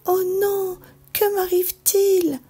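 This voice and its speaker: A woman voicing a kind young fairy-tale princess, gentle, earnest and full of feeling. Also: very high-pitched, level tone, takes it slowly, soft background music, voice pushed up. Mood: panicked. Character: kind young princess, turned into a small frog.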